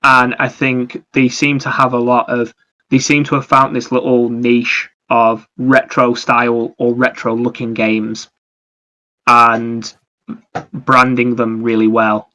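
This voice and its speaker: A man's voice: medium pitch, flat delivery, slow, bright, forceful tone. A man chatting casually about video games as a podcast host, explaining things in a rambling way. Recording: dull, lo-fi microphone sound.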